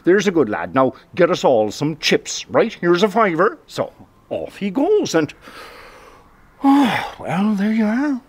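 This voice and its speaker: An actor playing a garrulous, comically earnest Cork roadworks supervisor with an Irish accent, spinning tall tales in a mock-documentary interview. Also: male voice, sits high, sing-song, a little slow, slightly rough, exaggerated voice. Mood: mysterious recollection. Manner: rambling, tall-tale storytelling.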